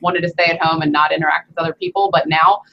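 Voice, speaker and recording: A woman's voice: sits low, steady tone, fast, lo-fi sound, slight background noise. A young woman hosting an interview from her home gym over a video call, chatting casually with many "you know"s. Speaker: host.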